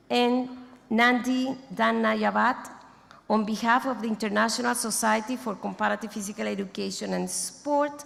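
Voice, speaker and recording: Woman, non-native English speaker, slow, halting, formal closing speech, long hall echo. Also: a little high, gravelly. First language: not English. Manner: halting, restarts mid-phrase, lists thanks and names. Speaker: society president giving closing speech. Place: large conference hall.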